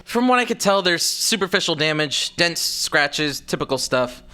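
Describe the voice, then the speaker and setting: Nervy grumbly guy pilot woman acting him, bright tense voice, swings all over, sci-fi audio drama. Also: low-pitched, lively intonation, moderate pace. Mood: worried. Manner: hesitant, whiny, sarcastic. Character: anxious, grumbling wreck of a male spaceship pilot.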